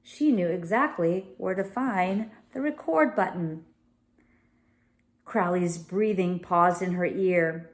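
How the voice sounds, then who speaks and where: A woman reading a story aloud like a teacher, expressively, with casual asides and self-corrections. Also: fairly low pitch. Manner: expressive, pausing to correct and comment. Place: home sunroom. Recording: roomy indoor echo.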